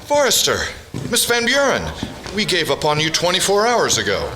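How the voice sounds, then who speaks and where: A man playing a grave army general in a radio drama, formal, measured and authoritative. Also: high-pitched, huge pitch swings, bright, forceful tone, a little hiss or hum, heavily acted, rough recording. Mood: surprised. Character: commanding, grave army general briefing officials.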